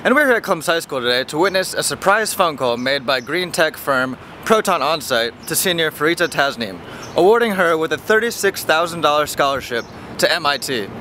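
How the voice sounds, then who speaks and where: A man's voice: a little high, lively intonation, brisk, sharp tone. A man in his thirties, a newspaper reporter giving an on-location news introduction outdoors. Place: outdoors on school front steps. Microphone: camera at arm's length. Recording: faint outdoor street noise.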